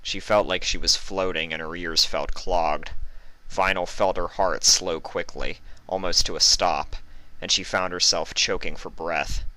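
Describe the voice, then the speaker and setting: A man's voice: low-pitched, fairly even intonation, measured pace, bright, forceful tone, no room sound, rough recording. A man reading a story aloud as its narrator. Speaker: fan fiction reader and narrator.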